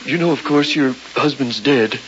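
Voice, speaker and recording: An actor playing a polite, puzzled coroner in an old radio drama, folksy and hesitant. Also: male voice, medium pitch, moderate pace, natural voice. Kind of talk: 1950s radio drama acting. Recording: muffled, noisy old radio recording.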